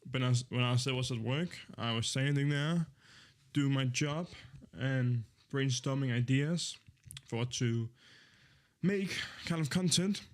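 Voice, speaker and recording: A young man in his twenties, chatting casually on a live stream in a voice of ordinary, middle pitch. He rambles easily, fills gaps with ums, and corrects himself mid-sentence as he goes. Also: a little slow. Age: 20s. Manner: rambling, easygoing, with many fillers and self-corrections.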